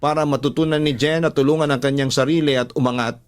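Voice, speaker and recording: A man's voice: mid-range voice, fairly even intonation, fast. A man with a Filipino accent, a radio drama host narrating listener letters and reflecting on them in a storytelling style. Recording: music playing behind the voice. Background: background music.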